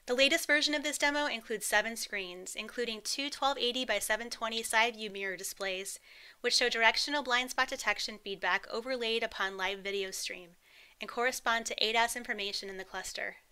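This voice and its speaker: A woman reading a scripted promotional voice-over in a polished, upbeat, even delivery. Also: a little high, brisk, smooth. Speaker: corporate narrator.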